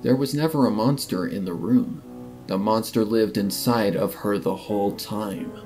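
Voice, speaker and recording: Low-voiced man narrating over guitar music. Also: normal speed. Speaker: story narrator. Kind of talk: dramatic scripted fan fiction narration.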